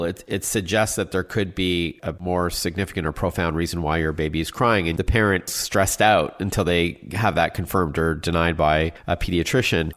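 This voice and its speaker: A man's voice: low-pitched, a bit bright. A middle-aged man hosting a tech radio show, asking questions in a friendly, upbeat way with enthusiastic reactions.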